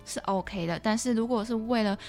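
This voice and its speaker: A woman's voice: a little high, fairly quick, darkish tone. Taiwanese Mandarin woman hosting, music behind. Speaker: podcast host. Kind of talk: conversational podcast hosting.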